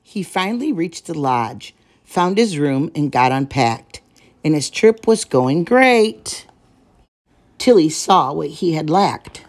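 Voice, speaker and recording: Woman storyteller, deep for a woman, unhurried pace, expressive rhyming picture-book narration. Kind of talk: children's picture book read aloud.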